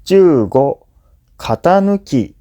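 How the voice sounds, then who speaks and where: Slow, mellow male narrator. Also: a little high, sing-song. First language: Japanese. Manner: measured, clearly phrased explanatory delivery. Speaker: language teacher reading listening practice. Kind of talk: scripted educational narration.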